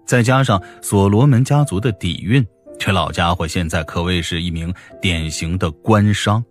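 A man reading scripted fantasy novel narration as an audiobook narrator. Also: low-pitched.

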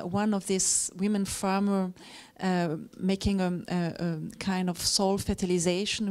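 A woman's voice: mid-range voice, darkish tone. A woman in her forties, not a native English speaker, giving a university lecture in a seminar room with many fillers and self-corrections. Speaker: guest lecturer. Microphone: far room mic, then handheld mic. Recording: noisy room recording.

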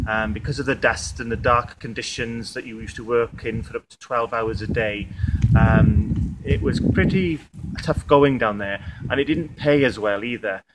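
A Welsh man in his thirties, a native Welsh speaker, answering interview questions outdoors, hesitant with many fillers and restarts. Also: mid-range voice. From Wales. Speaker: tourism representative. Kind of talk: on-camera interview. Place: outdoor museum yard by stone buildings. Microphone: camera mic, outdoors. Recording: outdoor noise behind.

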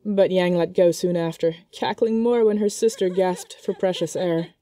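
Mellow-voiced woman narrating a story. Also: lowish voice, moderate pace, no echo, clean studio sound. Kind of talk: scripted narration of a fan fiction story.

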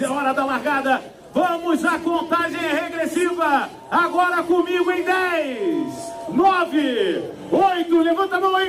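A man announcing a running event outdoors in a hyped, projecting manner with drawn-out words. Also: very high voice, far from the mic. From Brazil. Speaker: race announcer. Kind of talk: live sports event announcing. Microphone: handheld microphone. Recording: outdoor PA, loud music and crowd.

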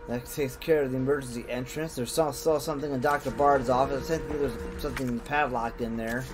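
A man of medium pitch narrates his gameplay casually, half talking to himself in folksy slang. Game music plays clearly behind his voice. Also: steady tone, brisk. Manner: talks to himself, mild swearing, folksy slang. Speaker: gamer, video host. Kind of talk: casual let's play commentary. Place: indoors, over game audio.

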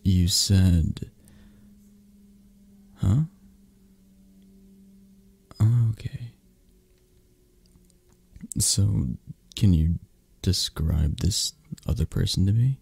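A man playing a possessive, menacing mafia boss lover in an ASMR roleplay, with a breathy, gravelly voice and a halting, condescending manner. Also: low voice, darkish tone, heavily acted. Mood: feigned calm. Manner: slow, halting, controlling, condescending. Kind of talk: ASMR roleplay, intimate close-up acting.

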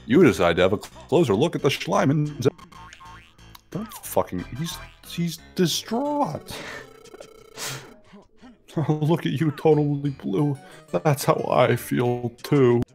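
A woman's voice: low-pitched, expressive, slow pace, pauses often, a little mellow, soft background music. A woman streaming herself playing a video game, chatting casually, swearing, laughing, and reading on-screen text aloud from her home setup on a headset mic. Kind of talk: casual let's-play commentary.

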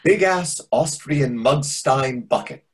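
A man in his thirties playing a fussy, condescending waiter with a deliberate, pompous manner in a comedy reading over a video call. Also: medium pitch, expressive, husky. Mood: smug. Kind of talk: readers theatre comedy acting. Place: indoor room on a video call. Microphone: video call microphone.

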